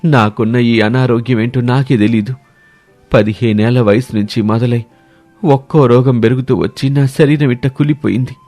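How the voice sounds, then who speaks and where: A man's voice: mid-range voice, fairly even intonation, normal speed, darkish tone, close to an everyday voice. A man voicing a sickly, despairing outcast village husband in a story, with rustic, self-pitying talk. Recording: music bed behind the voice.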